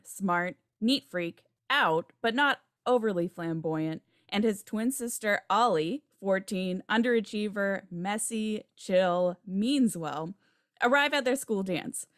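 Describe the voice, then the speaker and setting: A woman's voice: mid-range voice, no echo, studio quality. A woman reading stage directions as scripted narration for a table read. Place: home room, video call.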